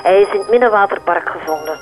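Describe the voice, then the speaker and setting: A woman acts a grave police colleague in a radio crime drama. Her voice is medium in pitch, with faint music underneath. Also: quick pace, natural voice, rough recording. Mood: grave, concerned.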